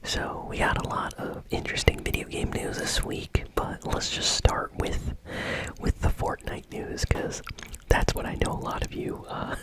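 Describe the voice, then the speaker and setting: A host whispering casual, conversational news commentary in a soft, breathy, unvoiced ASMR style. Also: measured pace, low-quality recording. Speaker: host of a gaming news show.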